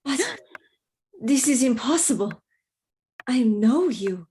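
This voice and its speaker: Mysterious young noblewoman, radio drama, languid, drawn-out phrasing, intense, formal, faint noise. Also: fairly high pitch, expressive, dark tone. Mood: astonished, intense. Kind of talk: dramatic radio play acting.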